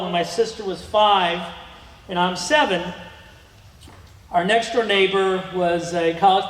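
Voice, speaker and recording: A middle-aged man giving a rambling museum talk about his family, full of ums and restarts. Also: sits high. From United States. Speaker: guest speaker. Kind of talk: museum lecture with slides. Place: museum lecture room. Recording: echoey hall with long reverb.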